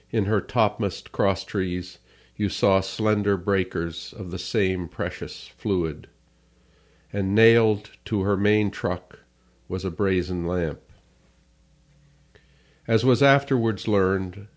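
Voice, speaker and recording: A man reading literary narration for an audiobook, measured and phrase by phrase. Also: on the low side.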